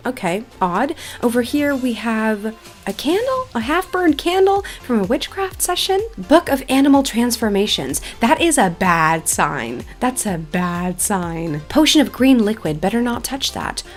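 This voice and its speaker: High-pitched, chatty woman narrating a game. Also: very animated, measured pace, faint music behind. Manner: chatty, enthusiastic. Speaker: host of a gaming channel. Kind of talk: excited game playthrough narration with casual chat.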